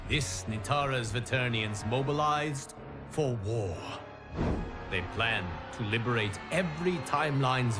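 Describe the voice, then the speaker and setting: A man playing a solemn godlike guardian of timelines, narrating video game cutscenes in a formal, measured, dramatic way. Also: mid-range voice, natural voice. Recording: loud cinematic music behind. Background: loud music.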